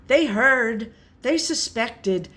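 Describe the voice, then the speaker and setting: A woman reading a gothic horror story aloud as a first-person narrator, in a deliberate, theatrical manner. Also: fairly high pitch, animated, a bit bright.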